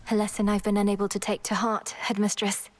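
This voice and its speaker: A woman's voice: mid-range voice, completely flat delivery, moderate pace, close to an everyday voice. A woman voicing an earnest young witch princess who speaks formally in a studio game. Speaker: game character.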